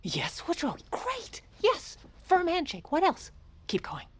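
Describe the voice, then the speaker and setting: A woman's voice: very high voice, wildly swooping pitch, slow pace, heavily acted. A woman playing a steely, sardonic and commanding First Lady in an audio drama. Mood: tense, commanding. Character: steely, wry, commanding First Lady covering for her ailing husband. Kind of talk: audio fiction drama acting.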